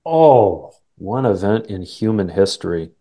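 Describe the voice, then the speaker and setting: A man's voice: on the low side, animated, unhurried, dark tone. A middle-aged man with a Canadian accent, talking earnestly and reflectively as a guest in a casual interview, often checking in with short tag questions. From Canada, born in England. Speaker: interview guest, sales coach.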